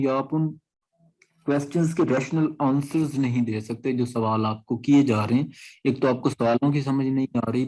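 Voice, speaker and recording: A man's voice: mid-range voice, warm tone, low-quality recording. A man with a Pakistani accent teaching an exam-prep class, explaining point by point in a didactic way and repeating himself. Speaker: law lecturer. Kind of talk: exam-prep lecture, reading out clauses and explaining them.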